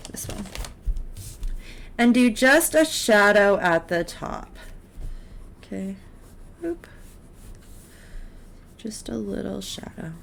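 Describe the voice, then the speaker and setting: A woman teaching art in a casual step-by-step drawing tutorial, encouraging and unhurried as she talks through each step while she works. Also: mid-range voice. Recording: clear background noise, drawing sounds.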